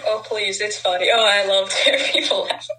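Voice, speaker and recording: Bright-voiced Norwegian-accented woman. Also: mid-range voice, steady tone, brisk, rough recording. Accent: southern Norwegian. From Norway. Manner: chatty, giggly, self-deprecating. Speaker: podcast co-host. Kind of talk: casual podcast chat.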